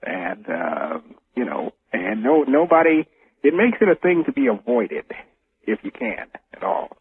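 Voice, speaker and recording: An American man calling a radio show by telephone, speaking casually in a halting, hesitant way and repeating himself. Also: medium pitch, sing-song, slightly rough, no room sound. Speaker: radio phone-in caller. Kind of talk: casual phone-in talk. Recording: narrow, tinny phone line on radio.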